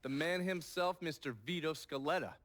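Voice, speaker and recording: An actor playing a shrewd, unsentimental informant in a video game, briefing in a dry, faintly sardonic way. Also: male voice, fairly high pitch, normal speed, background noise, lightly acted. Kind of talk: cinematic game dialogue.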